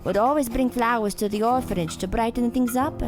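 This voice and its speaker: A woman's voice: on the high side, a little fast, over music, natural voice. A woman playing a genteel, sorrowful nun in an audio drama, speaking formally and theatrically, with hesitations. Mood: wistful. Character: genteel, sorrowful nun, former orphanage headmistress.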